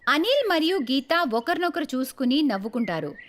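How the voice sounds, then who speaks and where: Female storyteller for moral tales: high voice, very lively intonation, scripted narration. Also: moderate pace.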